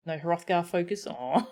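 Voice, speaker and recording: A woman's voice: fairly low pitch, very animated, measured pace, dark tone. A woman streamer giving casual, enthusiastic reaction commentary on a game. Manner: enthusiastic, admiring asides.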